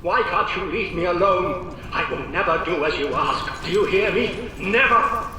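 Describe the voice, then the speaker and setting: An actor playing an embittered, defiant inventor in a video game, grandiose and desperate, declaiming theatrically through a booming echo. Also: male voice, unhurried, pushed and bright, soft background music, heavily acted. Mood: angry, defiant. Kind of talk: video game villain monologue. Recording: heavy echo, long reverb.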